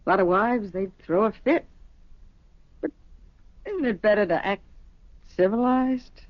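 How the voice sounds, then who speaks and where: An actress playing a breezy, worldly young mistress in a radio drama, speaking in casual, matter-of-fact slang. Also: female voice, mid-range voice, expressive, measured pace. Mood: frank, casual. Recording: dull vintage radio recording.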